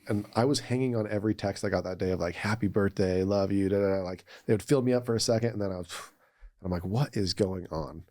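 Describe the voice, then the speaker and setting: A man with a very low voice shares personal stories as a podcast guest. He talks in an earnest, reflective and conversational way. The sound is dry, with very little room. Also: warm tone, no room sound. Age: 30s or older. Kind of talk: casual personal storytelling.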